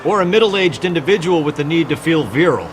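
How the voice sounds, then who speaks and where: Actor as a high-pitched, exasperated detective driving. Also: male voice, expressive, fast talker, natural voice. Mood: wry. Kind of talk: video game crime drama acting. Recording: clear car chase noise behind.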